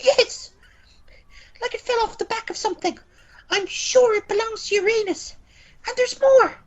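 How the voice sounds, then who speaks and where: A woman's voice: extremely high pitch, normal speed, dull audio. A woman with an Irish accent playing an eager, simple-minded young stable hand in an amateur radio play. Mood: excited.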